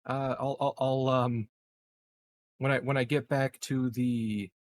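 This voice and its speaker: A man playing a tabletop roleplaying game, improvising casual banter in a halting way. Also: medium pitch, little pitch movement, slight background noise.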